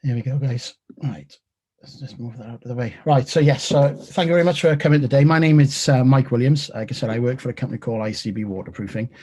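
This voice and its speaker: Welsh man giving a relaxed online seminar. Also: mid-range voice, fast, slightly rough, no room sound. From South Wales. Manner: relaxed, filler-heavy, conversational. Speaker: technical presenter. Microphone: video call.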